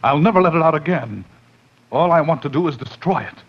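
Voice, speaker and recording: An actor playing an excitable, uneasy man telling his own horror tale, dramatic and intense, in 1940s radio style. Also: on the high side, breathy edge. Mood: desperate. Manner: dramatic, intense, with suspenseful pauses. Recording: dull, slightly noisy 1940s radio recording.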